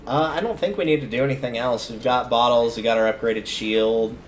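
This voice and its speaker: A man's voice: mid-range voice, fairly quick. A man livestreaming a video game, giving casual commentary and thinking aloud in a conversational way as he plays. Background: video game music.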